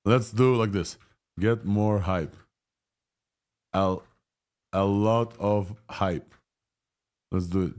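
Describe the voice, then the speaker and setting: A man in his thirties with a Russian and Israeli accent, hosting a live tech reaction video in an excited, rambling way. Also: on the low side, slow pace, long pauses. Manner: excited, rambling, laughs often. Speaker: tech show host. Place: home room, indoors.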